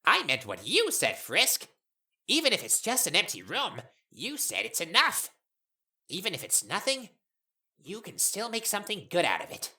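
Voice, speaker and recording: Casting: philosophical monster friend, played by a woman, very forceful bright tone, strongly swinging intonation, audio drama. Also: medium pitch, huge pitch swings, normal speed, full character voice. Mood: thoughtful.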